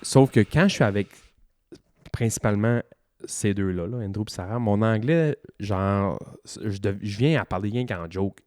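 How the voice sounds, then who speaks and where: Slangy Québécois man, low and dry. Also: normal speed, darkish tone, no echo. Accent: Québécois French. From Quebec. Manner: slangy, laughs often, trails off with "là" and "man". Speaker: podcast co-host. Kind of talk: casual chat.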